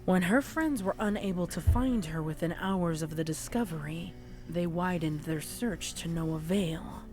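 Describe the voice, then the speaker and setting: A woman reads dark fiction as a story narrator. Her voice is on the low side, with dramatic, scripted delivery and soft music in the background. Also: moderate pace.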